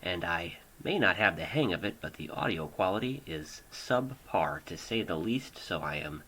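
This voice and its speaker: Deep-voiced American man hosting amateur radio. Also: lively intonation, sharp tone, slightly rough, low-quality recording. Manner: deliberate, drawn-out phrasing with pauses. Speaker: amateur radio show host. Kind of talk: scripted radio show narration. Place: home studio. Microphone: older hobbyist microphone. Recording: faint noise, amateur home microphone.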